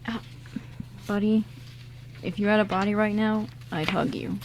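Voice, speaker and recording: A woman's voice: mid-range voice, steady tone, unhurried, noisy background, lightly acted. A woman playing an insecure, earnest young man in an amateur radio drama. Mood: subdued, caring. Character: insecure, guilt-ridden young man, a loyal buddy.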